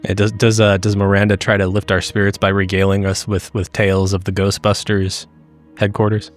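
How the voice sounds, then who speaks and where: Deep-voiced, blunt male roleplayer. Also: completely flat delivery. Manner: blunt, sweary, halting. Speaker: tabletop game player. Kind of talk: casual improvised in-character roleplay. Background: background music.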